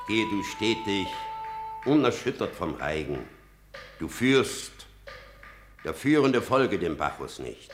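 A man playing an unhurried, cryptic blind seer giving measured, oracular warnings in a verse radio play. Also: lowish voice, fairly bright tone. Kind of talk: declaimed verse, radio tragedy.

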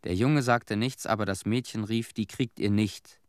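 An actor in a radio drama playing a somber young Chernobyl survivor who recalls childhood in measured, plain sentences. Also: male voice, lowish voice, fairly even intonation, no room sound, clean studio sound, natural voice. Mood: somber recollection.

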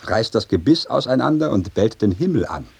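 A man's voice: lowish voice, big pitch swings, normal speed, gravelly, a little mellow, slightly rough, heavily acted. A man playing an agitated, complaining servant in a theatrical radio comedy, declaiming with exasperation and pleading. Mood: exasperated, confiding. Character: agitated, complaining male servant of a mad master.

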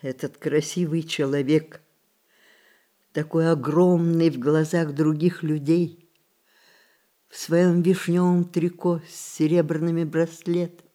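An actress playing a spirited, rebellious centenarian matriarch, romantic and commanding, in a theatrical radio play style. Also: female voice, on the low side, dark tone. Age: older.

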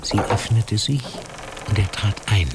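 A man playing the calm narrator of a fantasy radio drama, reading scripted prose. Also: on the low side, measured pace, very noisy. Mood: storytelling. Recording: music behind the voice.

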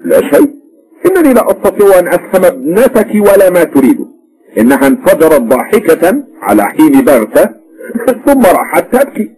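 A man's voice: sits high, sing-song, brisk, darkish tone, voice pushed up, rough recording. A man voicing a stubborn, selfish husband who answers curtly and irritably. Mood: defensive.

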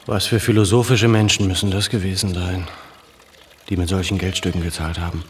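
A man's voice: low voice, flat delivery, a little fast, a little hiss or hum, close to an everyday voice. An actor playing an educated, earnest Armenian man in a radio play, brooding and pensive, with a troubled intensity. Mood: respectful, earnest.

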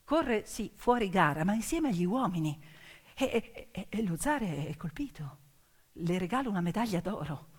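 A woman telling a story theatrically in short emphatic phrases with repetition, moving between hushed and loudly projected delivery. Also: medium pitch, very animated, normal speed, husky. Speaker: stage storyteller. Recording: long hall reverb, music behind. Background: music, piano accompaniment.

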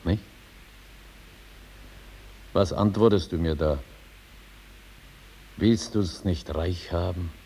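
An earthy rogue judge, speaks in a fairly low voice. He is sly and blunt, probing in colloquial turns of phrase, in the manner of a 1950s German radio play. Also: slow pace, long pauses, warm tone. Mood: quietly probing. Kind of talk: 1950s German stage-style radio drama acting.

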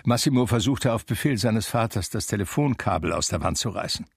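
A man acting the narrator of a radio drama, a reflective blind man telling his memories in a measured, wry, gravelly voice. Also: on the low side, lively intonation, dry recording, studio quality, full character voice.

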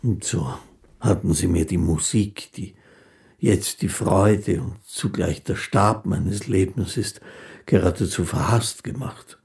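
An actor playing a gentle, humble old street musician in a radio play, halting, polite and wistful. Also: male voice, low voice, creaky, full character voice.

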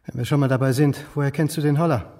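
A man's voice: medium pitch, fairly even intonation, fast, darkish tone, natural voice. An actor playing a middle-aged man who questions an elder relative in a terse, accusing way in a German radio drama. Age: middle-aged, about 40.

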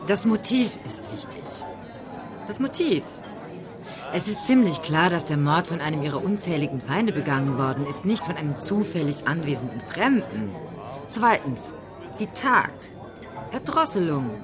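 A woman's voice: low voice, animated, normal speed, music in the background, a crowd in the background. An actress playing an opinionated, methodical female amateur sleuth in a German radio play, declamatory and pedantic. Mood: emphatic, deducing.

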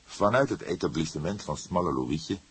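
Deep, husky male audiobook narrator. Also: animated, moderate pace, fairly bright tone, rough recording. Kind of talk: scripted literary narration.

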